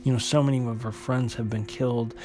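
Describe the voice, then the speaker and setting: A man narrating an unscripted recap of a story as a host, in a halting way, often repeating and restarting his phrases. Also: medium pitch, fairly even intonation, music in the background. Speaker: host retelling a comic book story.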